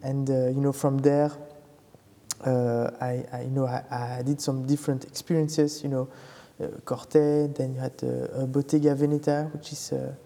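A man from Belgium answers interview questions haltingly, pausing often and circling back on his words. His voice is very dark and mellow, with a long reverb from the room. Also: mid-range voice, little pitch movement, vocal fry. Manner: hesitant, many fillers, halting phrasing. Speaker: guest, brand founder. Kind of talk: casual interview. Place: fashion atelier.

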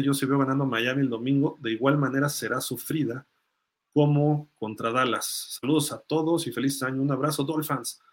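Man casually talking sports on a podcast. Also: mid-range voice. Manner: conversational, thinking aloud, reading comments out. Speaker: host of a live sports fan podcast. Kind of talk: casual sports commentary, answering listener comments.

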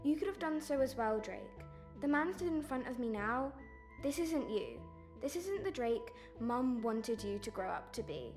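Audio drama, hurt young sister, played by a woman: high, lively pitch, earnest and reproachful. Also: moderate pace, faint music behind. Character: young working-class sister, hurt, reproachful, pleading with her brother.

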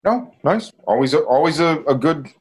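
A man's voice: on the high side, expressive, moderate pace, breathy edge. A man hosting a podcast, chatting casually and informally with his co-hosts. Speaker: podcast host. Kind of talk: casual chat.

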